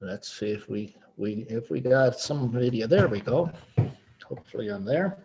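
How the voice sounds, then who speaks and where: A man's voice: medium pitch, animated, normal speed, gravelly, darkish tone, muffled recording, slight background noise. Man hosting a virtual event. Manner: informal, thanks and introduces performers. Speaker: event host.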